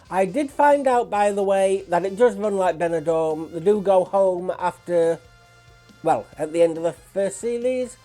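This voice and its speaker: Mellow-voiced woman with a blocked nose. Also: mid-range voice. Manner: chatty and apologetic. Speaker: reaction channel host. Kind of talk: casual chat to viewers.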